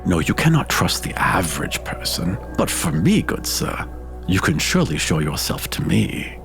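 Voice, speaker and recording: A man's voice: low voice, sing-song, moderate pace, gravelly, a bit bright, faint music behind, pitched below the performer's own voice, heavily acted. A man voicing an earnest, pompous dragonborn scholar in a tabletop game, with a formal, indignant manner. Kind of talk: improvised tabletop roleplay.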